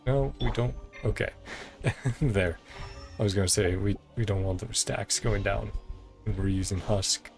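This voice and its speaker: A man's voice: fairly low pitch, steady tone, measured pace. A man giving casual gameplay commentary, thinking aloud in short, musing fragments. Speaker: gamer commenting on own playthrough. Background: game music.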